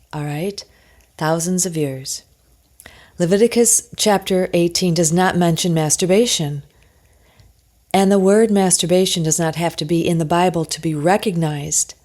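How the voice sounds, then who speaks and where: A woman's voice: on the low side, a little mellow. A woman giving an informal religious teaching talk, emphatic and insistent, repeating her points and posing rhetorical questions.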